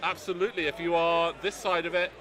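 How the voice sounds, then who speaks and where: A British man calls a tabletop tournament live, his voice pitched a little high and projected loudly so it carries. He is chatty and quick to poke fun at himself. A lively crowd murmurs clearly behind him. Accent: British English. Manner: loud and chatty, self-deprecating. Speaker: tournament commentator and host. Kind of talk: live game commentary. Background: crowd chatter of a tournament hall.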